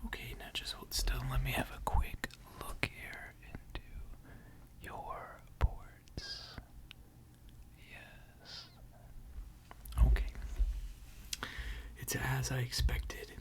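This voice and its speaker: Gentle robot mechanic, played by an ASMR performer, whispered, very slow, formal and soothing. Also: medium pitch, monotone. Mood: soothing, attentive. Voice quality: soft breathy whisper. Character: gentle, attentive robot mechanic tending a salvager robot.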